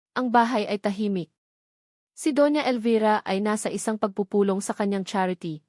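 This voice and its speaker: A woman's voice: medium pitch, darkish tone, no echo. Dramatic female audiobook narrator, dry sound. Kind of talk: dramatic scripted story narration.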